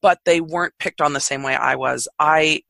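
A woman in her thirties with a low voice, telling her story openly and frankly as a podcast guest. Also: normal speed, gravelly, no echo, clean studio sound. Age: 30s. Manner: open, frank, lively. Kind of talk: candid conversational storytelling.

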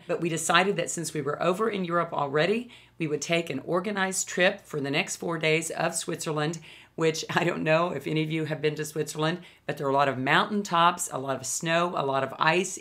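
A woman in her fifties with a low, hoarse voice from a lingering cold. She talks to the camera in a chatty, enthusiastic way, gesturing with her hands while she shows products in her home studio. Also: fairly bright tone. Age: 50s. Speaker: beauty vlogger. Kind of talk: casual product review to camera.

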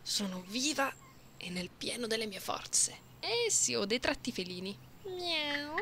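A woman voicing a playful, caring young catgirl in an intimate, soft ASMR roleplay. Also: on the high side, sing-song. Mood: earnest, upbeat. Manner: soft, unhurried, intimate, sometimes whispery. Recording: binaural close mic, audible background noise.

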